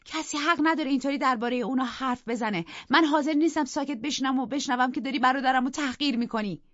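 A man reading an audiobook, voicing an indignant, spoiled young woman in a shrill, pleading voice. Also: fairly bright tone, no room sound. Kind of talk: audiobook character voice. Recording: dull dry studio recording.

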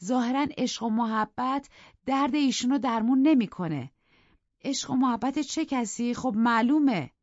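A man's voice: extremely high pitch, dry recording, voice pushed up. A man reading an audiobook, voicing a proper, earnest middle-aged housekeeper in a polite, pleading way. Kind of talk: audiobook character voice. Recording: dull dry studio recording.

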